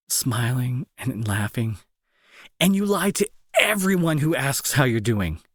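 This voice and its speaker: Man acting a husky, emotional young bully. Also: a little high, a bit bright, no room sound, studio quality, full character voice. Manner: intense, halting, pleading. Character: young male school bully, gruff but fearful and protective. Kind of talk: dramatic audio roleplay acting.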